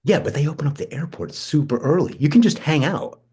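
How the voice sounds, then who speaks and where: Male actor as brash charming cousin, husky, very lively intonation, nonchalant slang, naturalistic film dialogue. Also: on the high side, a little fast. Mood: chatty, animated. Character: Benji, brash, charming, restless, foul-mouthed male cousin. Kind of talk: informal screenplay table read, slangy naturalistic dialogue.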